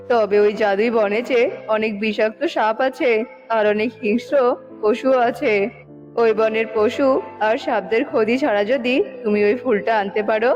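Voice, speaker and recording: Female actor as tantric holy sage, cartoon dub, high pitch, grave, instructive, music behind. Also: darkish tone, natural voice. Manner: solemn, instructive. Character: stern, wise tantric holy healer. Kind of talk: cartoon dubbing. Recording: dull lo-fi audio with music bed.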